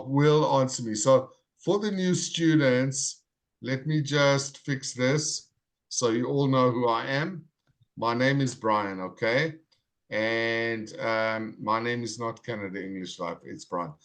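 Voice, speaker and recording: Guy teaching english on a video call, calling out names slow and friendly, mid pitch. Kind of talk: live online class roll call.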